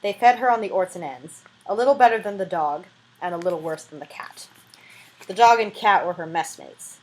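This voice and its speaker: A woman's voice: on the low side, animated, brisk, darkish tone, low-quality recording. Woman reading a novel aloud expressively. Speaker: amateur audiobook reader.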